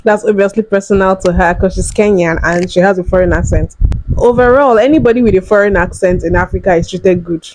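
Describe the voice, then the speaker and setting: A woman's voice: mid-range voice, fairly quick, darkish tone, poor audio. Frank woman hosting a reaction video. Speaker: reaction channel host. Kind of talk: casual reaction commentary.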